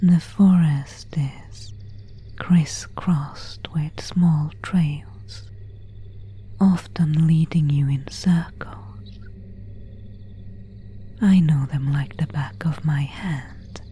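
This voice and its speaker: Lady acting out a courteous but menacing vampire woman, asmr roleplay, super slow dark breathy whisper. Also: deep voice, fairly even intonation, exaggerated voice. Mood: quietly commanding. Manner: slow, formal, old-fashioned phrasing. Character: seductive, predatory female vampire, courteous and menacing.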